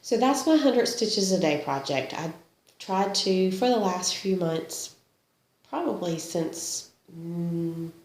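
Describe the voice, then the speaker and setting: A woman's voice: lowish voice, animated, takes it slowly, darkish tone. A woman with a slight cold, chatting casually about her cross-stitch projects, self-deprecating and laughing often. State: sniffly with a cold. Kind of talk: casual show-and-tell chat. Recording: echoey room.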